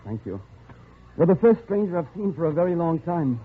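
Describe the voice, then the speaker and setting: A refined, weary traveller in an old radio play. He speaks politely and a little hesitantly, with an animated, slightly high voice. The recording is thin and narrow, like a vintage broadcast. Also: brisk, slightly rough. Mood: weak, grateful. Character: educated male traveller, polite, weary, uneasy jungle convalescent. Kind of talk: 1950s radio drama acting and first-person narration.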